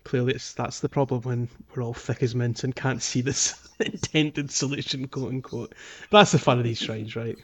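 Man, medium pitch, casual podcast co-host, gaming chat, chuckling, self-deprecating. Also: steady tone, moderate pace, hardly any pauses.

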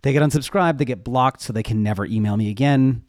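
A man's voice: medium pitch, fast talker, a little mellow, no echo, studio quality. A man talking casually as a podcast guest, candid and conversational as he explains things from his own experience. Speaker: podcast guest, web development educator. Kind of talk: casual interview talk.

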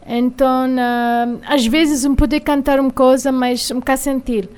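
A woman with an American accent, a singer speaking haltingly as a radio studio guest, pausing often with filler sounds. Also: high voice, fairly even intonation, a little mellow, clear, resonant tone. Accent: American English. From United States. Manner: hesitant, many filler sounds while finding words. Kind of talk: radio interview.

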